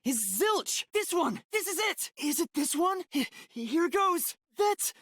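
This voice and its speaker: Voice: very high voice, big pitch swings, slow, a bit bright, no room sound, clean studio sound, full character voice. An actor voicing an earnest, anxious young male detective in a video game, with a hesitant, boyish, easily flustered manner. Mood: panicked, flustered. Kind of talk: English video game voice acting.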